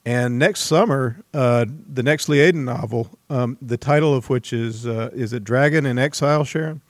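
A man hosting an interview, introducing and asking questions in a somewhat hesitant, halting manner. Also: mid-range voice, no room sound, studio quality. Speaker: interview host. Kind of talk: book podcast interview.

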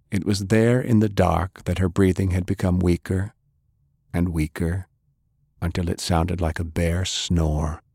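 Male narrator, low-pitched, grave dramatic storytelling, very dry studio sound. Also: creaky, a little mellow, breathy edge, no room sound. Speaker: audiobook narrator. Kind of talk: dramatic scripted fiction narration.

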